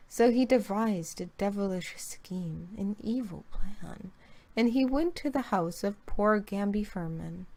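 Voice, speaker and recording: A woman reading a rhyming creepy poem very slowly, taking her time over every line. Her voice sits in a middle pitch, and she drops to a whisper here and there. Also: dark tone. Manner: slow, drawn-out delivery with whispered lines. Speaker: guest reader.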